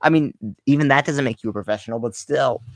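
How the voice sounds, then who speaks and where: A man hosting his channel, pausing a clip to rebut it in skeptical casual commentary. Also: medium pitch, no room sound. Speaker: channel host reacting to a video.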